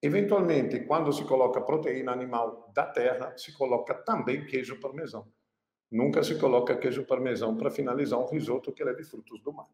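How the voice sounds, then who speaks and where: A man's voice: medium pitch, fairly quick. A man with a Pernambuco accent, a chef talking casually to camera about selling dishes, stressing points by repeating phrases. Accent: Pernambuco Brazilian Portuguese. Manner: expressive, repeats phrases for emphasis. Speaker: chef giving cooking business advice. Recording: echoey room.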